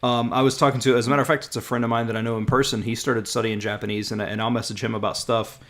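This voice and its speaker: A man's voice: mid-range voice, flat delivery, rapid-fire, a bit bright. A man hosting a podcast in casual chat, often repeating words and trailing off with fillers. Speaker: podcast host.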